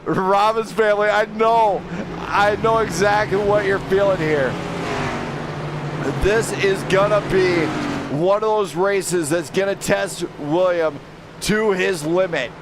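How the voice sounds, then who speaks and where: A man calling a live sim race play-by-play, excitable and shouting driver names. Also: sits high, slow pace. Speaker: sim racing commentator. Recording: racing game engine noise behind. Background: car engine roar from the game.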